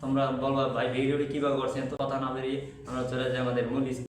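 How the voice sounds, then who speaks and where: A man's voice: medium pitch, flat delivery, very fast. A man hosting a video tutorial, narrating instructions step by step. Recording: faint background noise.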